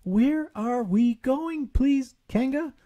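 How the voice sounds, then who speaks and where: A man's voice: very high voice, slow pace, dark tone, voice pushed up. Man voicing a timid, forlorn little piglet. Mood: plaintive. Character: timid, muddled, polite little male piglet. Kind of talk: audiobook storytelling.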